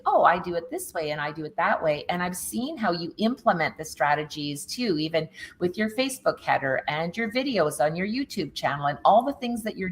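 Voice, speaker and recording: A woman's voice: on the low side, fairly bright tone. A woman from Canada hosting a casual interview, upbeat and enthusiastic as she promotes her event. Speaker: host.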